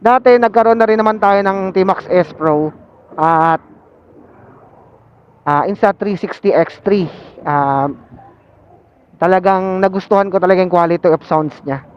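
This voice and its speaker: A man's voice: high voice, fairly even intonation, a little slow, pauses often. Man, Filipino accent, casual riding vlog, muffled intercom sound, faint vehicle noise behind. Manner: code-switches into English phrases, filler-laden. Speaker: motovlogger and intercom seller. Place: on a motorcycle in city traffic. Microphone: Bluetooth helmet intercom linked to action camera. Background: faint vehicle and road noise.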